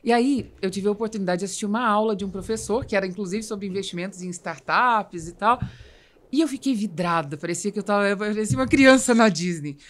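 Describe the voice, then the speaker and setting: Female podcast host, Brazilian accent, mid-pitched voice, explanatory and emphatic, conversational business talk. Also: fast.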